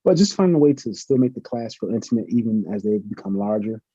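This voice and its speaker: Middle-aged man, professor guest; fast, husky, very dark tone, muffled lo-fi sound. Earnest, reflective. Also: on the low side, lively intonation, dry recording. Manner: earnest, reflective, uses many fillers. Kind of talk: conversational interview answers. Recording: muffled lo-fi audio.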